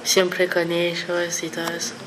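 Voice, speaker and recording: A woman's voice: lowish voice, completely flat delivery, a little slow, a bit bright, slight background noise, low-quality recording. A young woman from France, a native French speaker, giving brief interview answers. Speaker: exchange student guest.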